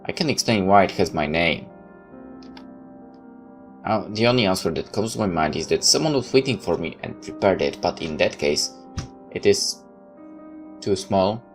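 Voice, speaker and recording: A man's voice: deep voice, animated, normal speed, pauses often. A man reading a visual novel aloud in first person, sometimes stepping out of the narration for casual comments. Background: background music.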